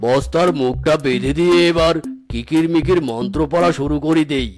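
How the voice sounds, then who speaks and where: High-voiced stern, theatrical cartoon tiger man. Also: a little mellow, natural voice. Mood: commanding. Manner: theatrical, commanding, chanting warnings and drawn-out threats. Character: stern scheming tiger uncle, posing as a holy man. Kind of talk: cartoon voice acting. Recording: music behind the voice.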